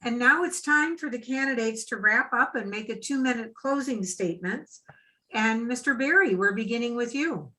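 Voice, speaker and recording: Bright, higher-voiced woman moderating. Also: moderate pace, poor audio. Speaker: forum moderator. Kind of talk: formal event moderation.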